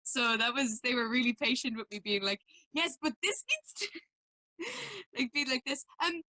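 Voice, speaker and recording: A young woman from Mayo with an Irish accent, a musician talking casually about her work with animated, self-deprecating storytelling. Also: sits high, normal speed, dry recording. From Mayo, Ireland. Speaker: musician guest. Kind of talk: casual interview chat.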